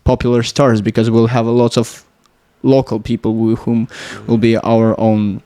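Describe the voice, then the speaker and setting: A man's voice: mid-range voice, monotone, dark tone. A young Latvian man, Russian by mother tongue, talks his way through a podcast interview in a mid-range voice. He halts often, restarts words and hunts for the right term, and keeps going at an easy, conversational pace. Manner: halting, searching for words, many restarts. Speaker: podcast guest, electronics engineer.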